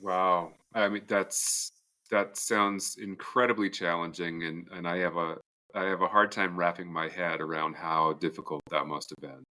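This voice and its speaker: Deep, bright-toned man hosting. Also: steady tone, normal speed, poor audio. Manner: sympathetic, probing questions. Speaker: podcast co-host. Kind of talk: podcast interview.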